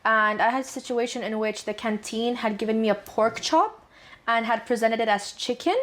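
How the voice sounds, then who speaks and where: A young woman from Dubai with a somewhat high voice talks frankly as a student guest. She answers in a chatty, casual way and laughs easily between points. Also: moderate pace, fairly bright tone. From Dubai, UAE. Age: young adult.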